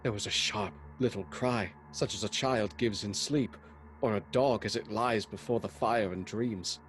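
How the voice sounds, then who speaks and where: A haunted, earnest doctor , played by a man, tells a gothic horror tale in a low voice. His radio drama narration is measured and dramatic, with music underneath. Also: fairly bright tone, close to an everyday voice, low-quality recording. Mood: tense, haunted. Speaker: actor narrating a radio play.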